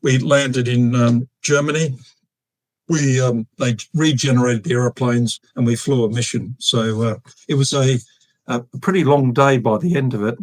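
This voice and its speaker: A man in his sixties with an Australian accent, a guest telling long stories in a casual interview, halting often with fillers and restarts. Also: medium pitch, a little mellow, dry recording, low-quality recording. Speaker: guest, retired air force officer.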